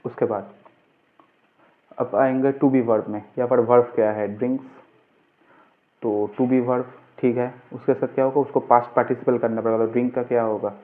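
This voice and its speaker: A man's voice: medium pitch, flat delivery, moderate pace. A man teaching as an informal grammar tutor, instructive, asking questions and answering them himself. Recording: muffled, phone-like, narrow-band sound.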